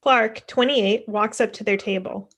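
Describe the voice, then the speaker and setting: A woman's voice: on the high side, fairly even intonation, unhurried, fairly bright tone. A woman giving scripted narration as the stage directions reader, recorded on a video call. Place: indoor room on a video call.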